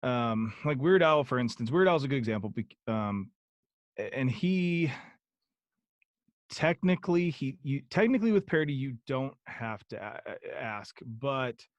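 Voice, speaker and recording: A man's voice: mid-range voice, lively intonation, moderate pace, no room sound. A young man chatting as a podcast guest, rambling with many ums and restarts. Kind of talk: casual interview chat.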